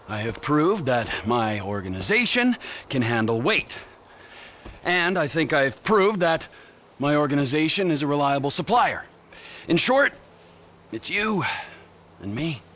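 A man's voice: fairly high pitch, big pitch swings, slow, pauses often, a bit bright, a little hiss or hum, exaggerated voice. An actor playing a volatile, violent middle-aged criminal in a video game cutscene, swearing and switching between pleading and screaming rage.

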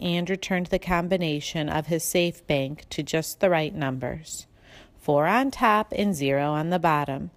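A woman's voice: on the low side, dry recording. Woman reading a children's story, dry recording. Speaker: audiobook reader. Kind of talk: scripted children's storybook narration.

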